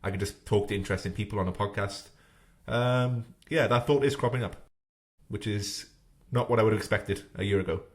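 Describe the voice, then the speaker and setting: Low-voiced man hosting a podcast. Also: brisk. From Wales. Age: 30s. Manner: thoughtful, hesitant, many "uh"s. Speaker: podcast host. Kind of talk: casual podcast interview.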